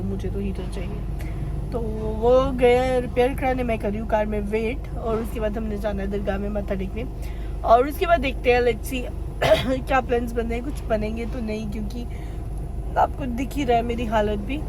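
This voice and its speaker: A young woman, hoarse from a cold, chatting casually to her vlog camera while she waits in a car. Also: a little high, noisy background. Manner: chatty, rambling, mixes in English words. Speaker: vlogger. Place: sitting in a parked car.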